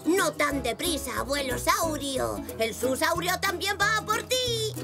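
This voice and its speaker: A curious little zebra boy from a children's cartoon. The voice is high and animated, with an unhurried pace, full of earnest excitement and imagination. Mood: playfully menacing. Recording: music behind the voice.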